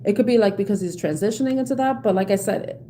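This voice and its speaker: A middle-aged woman with a New York accent gives a live tarot reading, talking to viewers in a chatty, reassuring way with many fillers and restarts. Her voice is mellow and soft-edged. Faint noise sits behind her voice. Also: mid-range voice, quick pace. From South Bronx, New York. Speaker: tarot reader.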